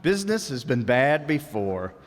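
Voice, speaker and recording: A man's voice: mid-range voice, big pitch swings, normal speed, faint music behind, lightly acted. A man voicing a harried, put-upon salesman husband who grumbles and wisecracks in a live radio play. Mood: grumbling. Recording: live stage with hall reverb.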